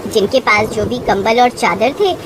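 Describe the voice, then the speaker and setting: A woman's voice: fairly high pitch, moderate pace, background noise. A woman narrating a scripted moral story as a storyteller.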